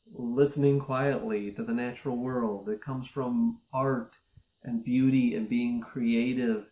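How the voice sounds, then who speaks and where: A man's voice: mid-range voice, darkish tone, poor audio. A man in his forties, a nonprofit research director, speaking straight to camera in an earnest, urgent appeal from a small office. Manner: earnest, urgent, building to emphatic pleas. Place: office with bookshelves, indoors. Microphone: close mic, seated on camera.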